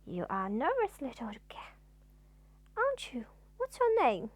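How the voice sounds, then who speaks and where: Woman as a gentle, high-voiced kindly tramp. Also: huge pitch swings, measured pace, no room sound, exaggerated voice. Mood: kindly concern. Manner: gentle, soothing, patient. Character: kind, gentle, reassuring male tramp.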